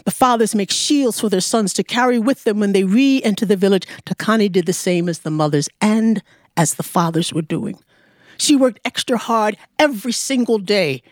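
A woman telling a folktale aloud as a storyteller, in measured, deliberate phrases. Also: mid-range voice, no room sound, studio quality. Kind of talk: live oral storytelling of a folktale. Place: performance studio before an audience.

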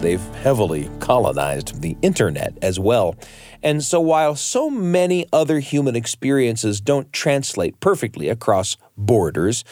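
Male radio host, playful scripted storytelling, very animated, dry studio sound with light music bed. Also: mid-range voice, no room sound. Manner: folksy, storyteller-like, addresses listeners as friends. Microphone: close studio microphone.

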